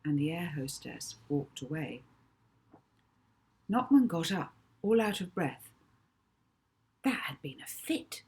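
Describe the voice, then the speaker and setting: A woman author reading her children's novel aloud with dramatic, deliberate emphasis. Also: low voice, very animated, a little mellow, husky. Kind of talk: expressive children's audiobook narration.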